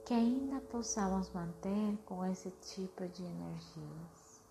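A woman leading a guided meditation in a soothing, unhurried way, with short phrases and long pauses. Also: lowish voice, vocal fry, dark tone, a little hiss or hum, rough recording. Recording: music behind the voice. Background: meditation music.

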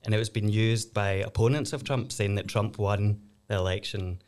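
Glaswegian lawyer explaining things. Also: male voice, on the low side, steady tone, moderate pace, a little mellow. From Glasgow, Scotland. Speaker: lawyer and lecturer, guest. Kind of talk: casual chat.

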